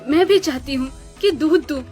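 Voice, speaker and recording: A woman plays the eldest of cartoon cow sisters, gentle and wounded. Her voice is high and pleading, with music underneath. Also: moderate pace, lightly acted. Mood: hurt, pleading. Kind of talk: Hindi cartoon story dialogue.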